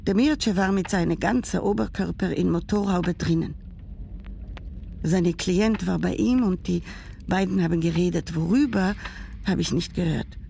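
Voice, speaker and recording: An actress playing an elderly grandmother who tells a grandchild about her childhood, speaking haltingly with broken grammar. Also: medium pitch, creaky, mellow, slight background noise. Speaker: narrator figure telling a childhood memory. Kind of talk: radio drama monologue.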